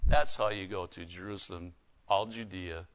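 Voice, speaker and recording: A man's voice: deep voice, steady tone, normal speed. A man, probably middle-aged, preaching in a folksy, emphatic way with personal stories and rhetorical questions. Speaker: preacher and missionary. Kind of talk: sermon with personal anecdotes.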